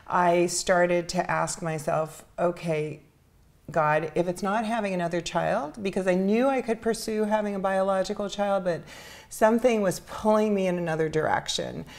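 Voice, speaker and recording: A middle-aged woman with an American accent, telling her personal story earnestly and reflectively as an interview guest, pausing between phrases. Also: on the low side, creaky. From New York City, United States. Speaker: interview guest, charity founder.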